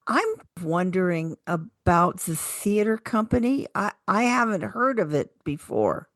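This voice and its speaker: A woman's voice: mid-range voice, animated, unhurried, no room sound, clean studio sound. A woman co-hosting a radio show, asking questions and reading scripted announcements.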